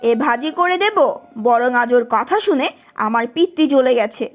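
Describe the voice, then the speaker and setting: A very high-voiced, ill-tempered village housewife played by a woman from a cartoon. She nags and scolds with heavy sarcasm, and music plays behind her. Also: animated, moderate pace. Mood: sulky complaining. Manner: sarcastic, nagging. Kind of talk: animated cartoon voice acting.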